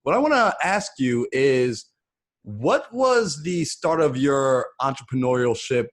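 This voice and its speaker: A man's voice: mid-range voice, expressive, slow. Young male host on a video call, earnest and talkative, desk mic. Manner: earnest, long flowing questions. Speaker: podcast host. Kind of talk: interview questions. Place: home office, indoors. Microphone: desk microphone on video call.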